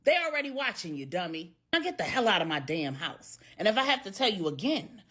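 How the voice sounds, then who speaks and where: Woman acting out a fearless, fed-up American grandmother, husky. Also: medium pitch, very animated, brisk, a bit bright. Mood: scolding, defiant. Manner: sassy, blunt, emphatic street talk. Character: fed-up, fearless older grandmother scolding her criminal ex.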